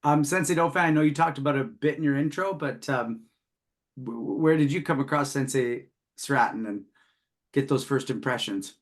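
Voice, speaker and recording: A man's voice: mid-range voice, normal speed. Man, podcast host, mid-range voice, casual interviewing.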